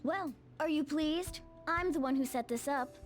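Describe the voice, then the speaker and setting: An actress playing a smug, composed yet quietly caring young woman in a video game, speaking with self-assurance. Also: high-pitched, normal speed, soft background music, natural voice. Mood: pleased.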